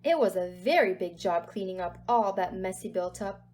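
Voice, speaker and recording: A woman's voice: mid-range voice, moderate pace, a little mellow, a little hiss or hum. A woman narrating a children's storybook aloud expressively, with dramatic emphasis on key words. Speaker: storybook reader.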